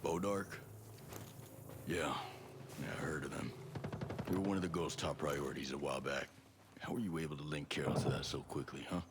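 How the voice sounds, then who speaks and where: An actor playing a gruff, confident middle-aged special forces soldier in a video game. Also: male voice, extremely low pitch, normal speed, pauses often, background noise. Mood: wry, probing. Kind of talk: video game cutscene acting.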